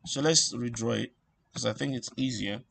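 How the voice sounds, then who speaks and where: A man giving an informal electronics tutorial, thinking aloud in a halting way and repeating phrases as he works things out. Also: medium pitch, gravelly, fairly bright tone, slight background noise. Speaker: instructor.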